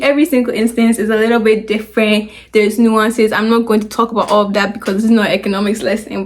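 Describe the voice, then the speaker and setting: A young woman with a Ghanaian accent talks straight to her viewers in an easy, chatty explainer. Her voice sits a touch high, and she slips into little acted voices when she quotes people. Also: a little hiss or hum. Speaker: video commentator. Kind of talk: casual explainer commentary.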